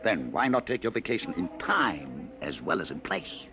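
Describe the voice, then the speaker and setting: An actor playing a sinister male searcher from the future in a 1950s radio drama, taunting his targets with smooth, insinuating salesman patter. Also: low-pitched, very animated, normal speed, sharp tone, full character voice. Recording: old radio broadcast with faint hiss.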